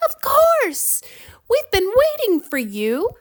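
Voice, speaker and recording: Tiny friendly storybook fairy, very high and squeaky, cheerful. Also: female voice, wildly swooping pitch, slow pace, heavily acted. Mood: eager.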